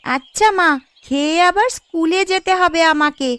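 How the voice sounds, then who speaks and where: A woman's voice: extremely high pitch, moderate pace, fairly bright tone, dry recording. A woman voicing a sweet, curious young schoolgirl in a cartoon, with a childlike, eager way of talking. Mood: wishful. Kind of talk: Bengali family cartoon voice acting.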